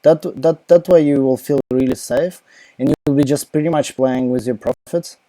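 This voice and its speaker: Ukrainian guy on a laptop mic at home, very dark mellow tone, dry sound, lots of um and like. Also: medium pitch, moderate pace, no echo, low-quality recording. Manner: rambling, many fillers and restarts. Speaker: crypto live Q&A host. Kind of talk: casual live Q&A.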